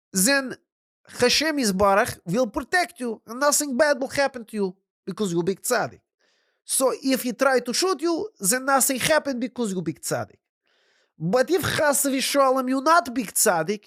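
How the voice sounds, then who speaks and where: A man voicing a simple, excitable male wagon driver who speaks broken English in a raised voice. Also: no room sound, studio quality. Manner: broken English, raised pitch, talks in a rush.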